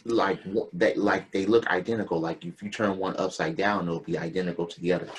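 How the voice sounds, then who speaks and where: A man's voice: low voice, steady tone, fairly quick, husky. A man in his late thirties in a casual group chat, blunt and emphatic, swearing freely. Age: 30s.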